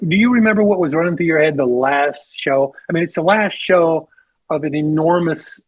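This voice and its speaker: A man with a slightly high, husky voice interviews casually, wandering through his questions with asides. The sound is dry and somewhat dull. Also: moderate pace, no room sound.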